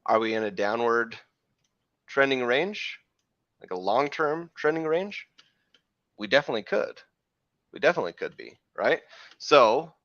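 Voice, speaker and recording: A man hosting a live crypto trading show, talking through chart analysis in a rambling way, correcting himself, with a slightly hoarse voice from losing it. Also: mid-range voice, fairly bright tone, no echo, dull audio. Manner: rambling, self-correcting, frequent "right?" checks.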